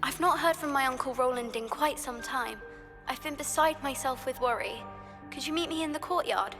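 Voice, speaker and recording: A woman's voice: high voice, moderate pace, in a large hall, over music. A woman playing an anxious young student witch in a video game, worried and earnest. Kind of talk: video game character acting.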